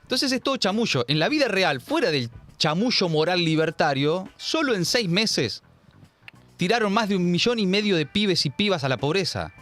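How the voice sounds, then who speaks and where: Sardonic Argentine man, fairly high voice. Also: big pitch swings, moderate pace, a bit bright, soft background music. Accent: Argentine Rioplatense. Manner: sardonic, emphatic, slangy. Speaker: host. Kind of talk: political news commentary.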